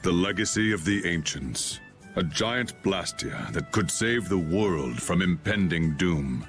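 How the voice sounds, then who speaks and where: An actor playing an aloof, solemn swordsman with a formal, measured, imperious way of speaking, in an anime-style game. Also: deep voice.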